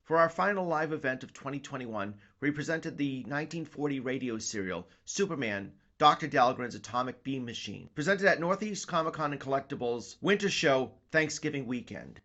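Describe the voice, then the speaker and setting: A man's voice: mid-range voice, animated, normal speed, few pauses, fairly bright tone. A man hosting a podcast, reading scripted narration as an announcer. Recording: slightly muffled, music behind.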